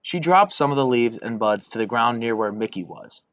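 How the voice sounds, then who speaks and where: Young guy reading a kids story, pretty expressive, dry kinda muffled lo-fi sound. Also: mid-range voice, normal speed, no room sound. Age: young adult. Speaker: volunteer storybook reader. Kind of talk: scripted children's story reading. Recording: dull lo-fi recording.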